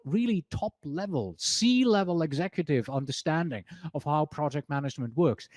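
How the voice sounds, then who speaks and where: A man with a Swiss German accent teaches an exam prep lesson, and his pitch sits a little high and swings widely. He talks in a chatty way, reads quotes off slides and stops to correct himself. The recording is dry and close. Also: a little mellow, no echo. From Switzerland. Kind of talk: presentation lesson.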